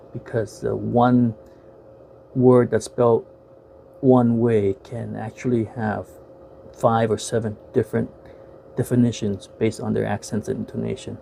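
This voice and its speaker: Man, 40s, low gravelly voice, halting casual talk with fillers, faint noise. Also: warm tone. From Vietnam, raised in the United States. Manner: halting, many fillers and restarts. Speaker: vlogger reviewing films. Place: bedroom at home, indoors.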